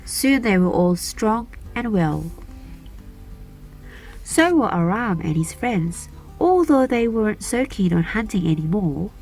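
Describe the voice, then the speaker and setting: A woman narrating a children's fairy tale aloud as a storyteller, in an expressive, deliberate manner. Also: mid-range voice, soft background music. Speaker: storyteller and channel host.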